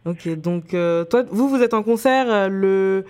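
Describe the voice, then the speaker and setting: A woman's voice: medium pitch, unhurried, darkish tone. A woman working as a radio host, asking questions in a conversational interview style.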